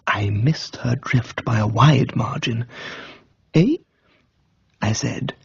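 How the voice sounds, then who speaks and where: A man with an upper-class English accent reading scripted comic narration in character as a genial gentleman. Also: mid-range voice, a little slow, vocal fry, husky, no room sound, lo-fi sound.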